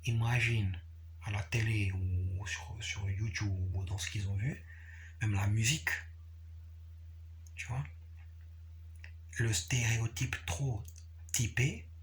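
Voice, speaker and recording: Man talking casually over background noise. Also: on the low side. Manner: repetitive and halting, often checks the listener follows. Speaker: capoeira practitioner sharing views. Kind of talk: casual, unscripted explanatory talk.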